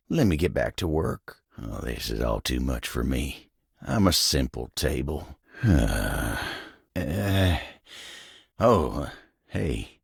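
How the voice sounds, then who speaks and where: A man voicing a humble, hardworking living table in a video game, with a gravelly, husky Southern American drawl and a folksy manner. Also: low-pitched, a bit bright, no room sound, studio quality, exaggerated voice. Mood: weary, flustered. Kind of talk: video game character voice acting.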